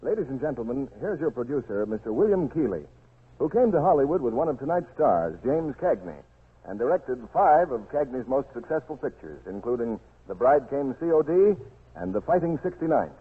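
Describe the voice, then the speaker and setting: A man announcing a 1940s radio show with grand, showy introductions in a raspy voice. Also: medium pitch, very animated, moderate pace. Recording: muffled 1940s radio broadcast with music.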